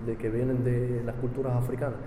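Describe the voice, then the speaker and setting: A young man from Argentina, a university student, chatting in a relaxed way in an interview; his Argentine accent has turned largely Cuban. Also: mid-range voice, fast, roomy sound, long reverb tail, noisy background. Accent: Argentine Spanish with a strong Cuban influence. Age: young adult. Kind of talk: casual interview. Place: high-rise apartment overlooking the sea.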